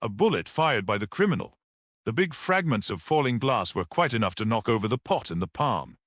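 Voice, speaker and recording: A man voicing a mild, perceptive priest-detective in a story, grave, measured and almost dreamy as he reasons. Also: medium pitch, animated, a bit bright, no echo, studio quality. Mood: grave, musing.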